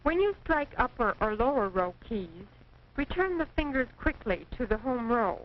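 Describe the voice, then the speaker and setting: An American woman reading scripted 1940s training film narration in a precise, measured, instructive manner. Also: a little high, big pitch swings. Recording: dull, noisy 1940s film soundtrack.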